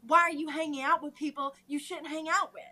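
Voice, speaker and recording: A woman's voice: high voice, steady tone, fairly quick, pushed and bright. A woman reading the part of a whiny, aggrieved man scolding his fiancée in a dramatic reading of a reality TV fight. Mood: accusing. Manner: plaintive, scolding.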